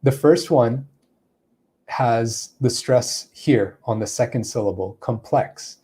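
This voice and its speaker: Mellow-voiced man explaining English. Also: medium pitch, takes it slowly, husky. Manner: explanatory, thinking aloud with fillers. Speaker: English teacher. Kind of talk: answering viewer questions in a lesson.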